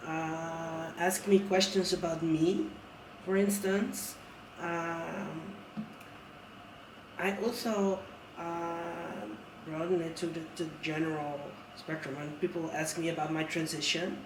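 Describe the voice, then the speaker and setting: An earnest man with a high voice talks reflectively, stumbling over restarts as he thinks. He sounds far from the mic in an echoing room, with a faint hiss underneath. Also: flat delivery, takes it slowly. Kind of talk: casual conversation. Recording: roomy sound from a distant mic.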